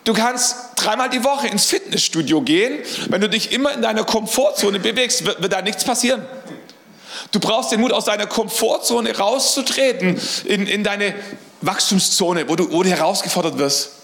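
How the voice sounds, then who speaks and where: A man's voice: high voice, lively intonation, moderate pace, a bit bright, roomy sound. A middle-aged man preaching a sermon as pastor, earnest, often repeating words as he searches for the phrasing.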